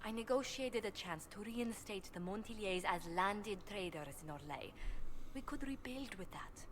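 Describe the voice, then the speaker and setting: A woman's voice: mid-range voice, expressive, slight background noise, lightly acted. An actress voicing an anxious, refined noblewoman in a video game, speaking formally and earnestly. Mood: grave, measured. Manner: formal, earnest, measured phrasing. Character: anxious, refined noblewoman burdened by family debt. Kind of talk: video game dialogue acting.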